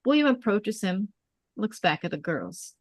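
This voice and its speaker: A woman's voice: mid-range voice, sing-song, measured pace, dry recording, studio quality. A woman giving scripted narration, reading out stage directions at a table read.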